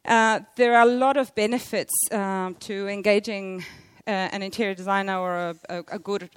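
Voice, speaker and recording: Loud woman giving a halting talk. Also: mid-range voice, fairly even intonation, smooth. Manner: hesitant, many fillers and restarts. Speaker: conference speaker, library interior designer. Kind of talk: conference presentation.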